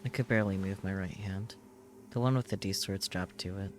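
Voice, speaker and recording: Low-voiced man, solemn dramatic narration. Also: fairly even intonation, slight background noise. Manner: solemn, measured, short halting phrases. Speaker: streamer reading a visual novel aloud. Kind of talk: dramatic scripted first-person narration.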